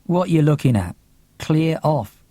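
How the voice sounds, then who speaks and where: A man's voice: on the high side, expressive, a little slow, dark tone, dry recording. A man voicing a brooding, wounded young loner in an audiobook, muttering curtly and defensively. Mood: hostile. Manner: muttering, curt, rough.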